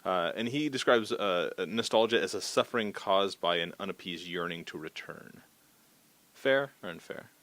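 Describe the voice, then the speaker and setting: A man with a very low voice conducts a conversational interview. His delivery is halting and hesitant, with phrases that trail off as he works toward his question. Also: expressive, a bit bright, no room sound.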